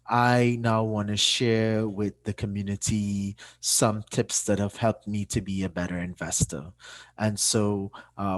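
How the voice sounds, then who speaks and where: A man, a startup founder giving a plain-spoken, informal personal talk to an online community. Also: fairly low pitch. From Trinidad and Tobago. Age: 30s. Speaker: startup founder and chief executive.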